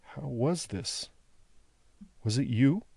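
A man voicing a bewildered, broken old prisoner in an audiobook, halting and repeating himself in wonder. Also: medium pitch, warm tone, dry recording, close to an everyday voice. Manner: halting, repetitive, wondering speech.

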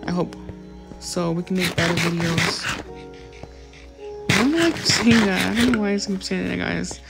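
A woman's voice: fairly low pitch, lively intonation, a little slow, gravelly, loud music behind the voice. Apologetic rambling woman gaming vlogger. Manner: apologetic, rambling, many fillers. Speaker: gaming YouTuber. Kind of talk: casual gameplay commentary.

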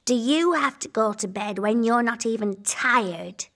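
A woman's voice: a little high, animated, a little slow, noisy background. A woman voicing a stubborn, playful little princess in a preschool cartoon, whiny and emphatic. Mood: whiny, defiant. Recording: music under the voice.

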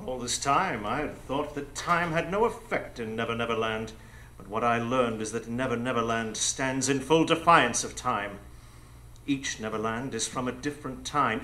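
Casting: grandiose male pirate mastermind played by a man, sharp bright voice, mocking and theatrical, live radio drama. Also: medium pitch, normal speed, lightly acted. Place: improv theatre stage before a live audience.

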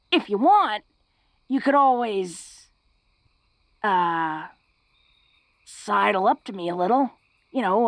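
Woman voicing a muscular orc girlfriend, audio roleplay, slightly high voice, slow halting delivery, tough yet shy and tender, intimate. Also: no echo. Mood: flustered, coy. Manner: bashful, halting, breaking into soft chuckles. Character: big, tough young orc woman, secretly awkward and tender. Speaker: voice actor. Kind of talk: intimate romantic audio roleplay spoken to the listener.

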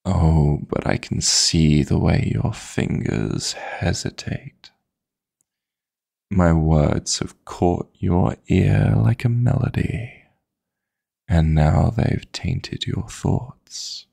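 A man voicing a possessive, flirty yet tender dream demon lover in an intimate ASMR sleep-aid roleplay, coaxing in a husky, breathy voice with formal endearments. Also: deep voice, very slow, a little mellow, full character voice. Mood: seductive, soothing. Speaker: audio roleplay performer.

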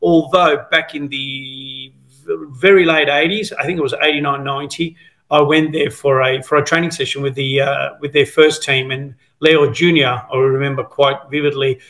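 A man's voice: medium pitch, level tone, moderate pace, a bit bright, rough recording. A man in his fifties, born in Italy, telling stories about his football past on a video call, rambling with many fillers and restarts. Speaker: guest, former footballer. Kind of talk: casual interview over video call. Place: home room, indoors. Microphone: video call, laptop camera.

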